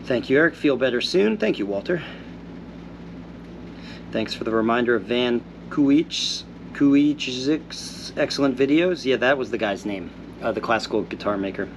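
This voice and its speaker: A man's voice: mid-range voice, moderate pace, background noise. A man hosting a viewer Q&A, still a little congested after being ill, reading out comments and answering them in an easygoing, thoughtful way. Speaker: host answering viewer questions.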